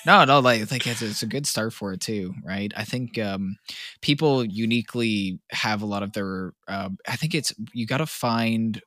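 Low bright-toned rambling man. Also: moderate pace, no echo, clean studio sound. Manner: rambling, searching for words, many fillers. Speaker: podcast co-host. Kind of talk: casual podcast chat.

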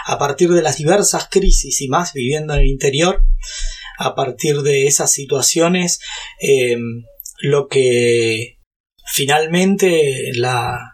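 Husky-voiced Argentine man, talkative guest. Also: a little high. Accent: Argentine Spanish. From Brazil. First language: Portuguese. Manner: talkative, frequent fillers and restarts. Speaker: guest, YouTuber and entrepreneur. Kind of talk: casual interview.

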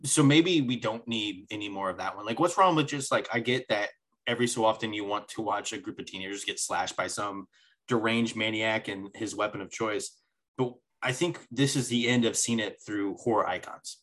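A man's voice: lowish voice, moderate pace, a bit bright. A man co-hosting a podcast in casual chat, rambling and restarting his sentences, emphatic about his opinions. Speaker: podcast co-host.

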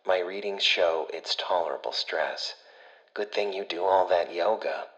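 Low-voiced ship AI played by a male narrator, caring and anxious, hushed urgency, wry familiarity, sci-fi audiobook. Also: unhurried, highs cut off. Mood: reassuring, wry.

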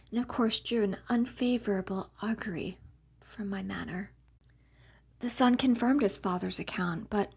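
A woman reading a gothic novel aloud as scripted narration, in a very breathy, whispery voice with measured pauses between phrases. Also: mid-range voice, muffled recording. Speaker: audiobook reader, podcast host.

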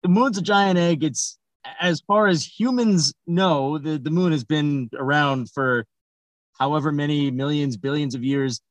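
A man's voice: a little high, measured pace, lo-fi sound. A man hosting a casual comedy podcast as the hesitant, dryly bemused straight man. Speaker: podcast host. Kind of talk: casual comic interview.

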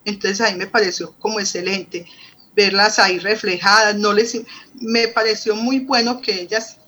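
A woman with a Colombian accent and a bright, forward voice answers in an interview. She talks conversationally, often rephrasing, with faint noise behind her. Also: medium pitch, steady tone, normal speed. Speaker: guest, professor. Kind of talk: interview answer, recounting experience.

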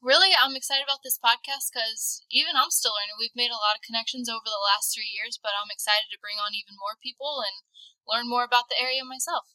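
A woman co-hosting a podcast as a physical therapist assistant, speaking calmly and composed. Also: on the high side, fast talker, no room sound, low-quality recording. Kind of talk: casual podcast chat.

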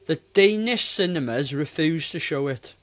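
A man's voice: a little high, animated, moderate pace. A man in his forties co-hosting a casual film review podcast, speaking in a blunt, exasperated way. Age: 40s. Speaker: podcast co-host.

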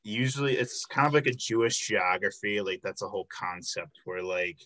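A young male host from Illinois runs a casual interview and keeps it friendly and chatty, full of "like" and "um". His voice sits a bit low but sounds very bright and forward. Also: fairly even intonation, normal speed, slight background noise. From Illinois, United States. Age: 20s. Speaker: podcast host.

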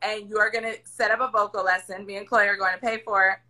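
A woman hosting a podcast, with a raspy voice, talking loudly and emphatically in casual chat. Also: medium pitch, level tone, quick pace, poor audio. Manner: loud, emphatic, encouraging. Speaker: podcast host. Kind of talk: casual chat over a video call.

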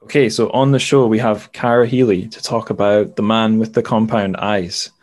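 Low-voiced podcast host, male. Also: fairly even intonation, normal speed, darkish tone, soft background music. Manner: chatty, self-deprecating, wry asides. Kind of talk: casual podcast presenting.

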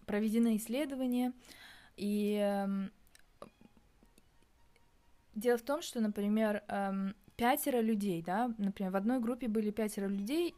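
Young woman, warm mellow tone, casual vlog talk, hesitant, thinking aloud. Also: medium pitch. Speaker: vlogger. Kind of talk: casual reflective monologue.

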